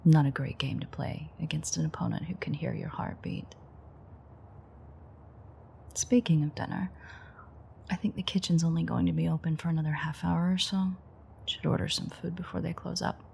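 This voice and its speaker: A woman's voice: sits low, fairly even intonation, moderate pace, long pauses, mellow, slight background noise. A woman playing a playful, flirtatiously menacing ancient vampire in an intimate roleplay audio drama, murmuring wryly and often close to a whisper. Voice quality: breathy, husky, often near-whisper. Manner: teasing, wry, murmured.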